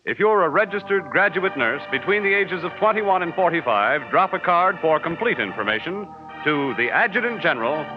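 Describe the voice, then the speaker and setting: Male 1940s network announcer, polished and formal, widely inflected, over clear music on a dull vintage recording. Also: a little high, animated, moderate pace. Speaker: radio announcer. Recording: muffled 1940s radio broadcast recording.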